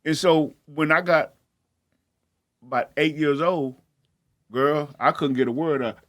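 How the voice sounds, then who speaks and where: A man's voice: fairly high pitch, unhurried, no echo. A man with a stammer telling personal stories in casual conversation, speaking plainly in everyday vernacular. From grew up in Baltimore, then Houston. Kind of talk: casual storytelling.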